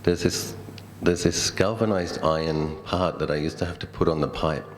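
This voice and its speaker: A middle-aged Australian man, a Buddhist monk, giving a conversational, reflective dhamma talk built on personal stories, with thoughtful pauses. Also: rumbling low.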